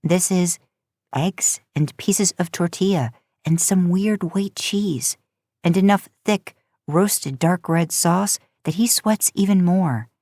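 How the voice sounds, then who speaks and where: A woman's voice: fairly low pitch, a little mellow, no room sound. A woman narrating a written story in the manner of an audiobook, reading from a script. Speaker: podcast host and fan fiction narrator.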